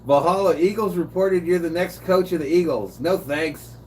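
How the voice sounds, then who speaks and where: A man's voice: sits high, moderate pace, darkish tone. An older man streaming casual play-by-play of a game, chatting with viewers in a laid-back way. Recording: distant mic, faint room noise.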